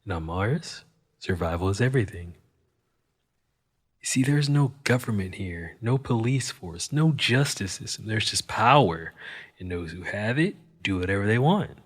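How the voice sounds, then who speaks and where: An actor playing a cynical, wisecracking Martian criminal fixer in an audio drama monologue, with wry, world-weary delivery and deliberate pauses. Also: male voice, on the low side, lively intonation, slightly rough. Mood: wry, philosophical, then grim, emphatic.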